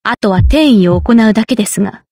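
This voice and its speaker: A woman's voice: medium pitch, animated, a little fast, mellow, no echo, clean studio sound. A woman voicing a stern, dutiful maid in an anime visual novel, strict and formal in her delivery. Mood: stern, businesslike.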